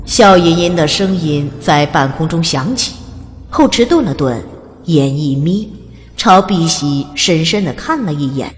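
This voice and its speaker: A woman narrating a fantasy audiobook in an even, storytelling delivery. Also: low voice, a little slow. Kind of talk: scripted narration. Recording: long reverb.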